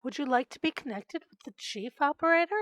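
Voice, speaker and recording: An actress playing a courteous, unflappable telephone operator in an old-time radio play, speaking in detached set phrases. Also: female voice, high-pitched, lively intonation, fairly quick, a bit bright, no echo. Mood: detached, patient. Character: polite, unflappable female telephone switchboard operator.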